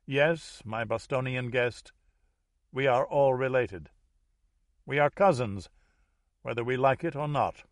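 A man voicing a formal, self-assured Dutch heir who addresses his relatives in a deliberate, commanding way, as in an audiobook thriller. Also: medium pitch, dry recording, clean studio sound, natural voice.

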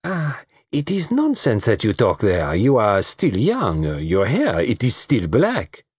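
Man putting on a coquettish South American woman, lilting, husky. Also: medium pitch, wildly swooping pitch, a little mellow, dry recording, studio quality, pitched above the performer's own voice, exaggerated voice. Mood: flattering. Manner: coquettish, drawn-out phrasing.

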